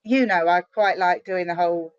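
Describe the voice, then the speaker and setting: A chatty, animated middle-aged woman from the UK talks as a guest over a video call, her sentences tumbling out with restarts and fillers. The sound is close and dry, a little dull and lo-fi. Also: on the low side, moderate pace, clean tone, no echo. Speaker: guest, online marketer. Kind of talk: casual interview chat.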